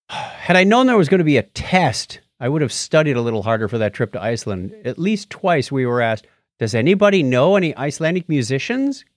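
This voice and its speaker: Man, lively swooping intonation, dry close sound, podcast co-host, playful casual chat. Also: mid-range voice, fairly quick, no echo. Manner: jokey, playful banter.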